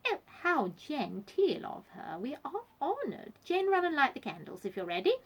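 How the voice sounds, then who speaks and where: Woman acting a prim, bossy lady doll, animated. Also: high-pitched, moderate pace, lo-fi sound, pitched above the performer's own voice. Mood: gracious, bossy. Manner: prim, affectedly genteel, emphatic. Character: genteel, bossy, snobbish lady doll Vanessa. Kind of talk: children's storybook character voice.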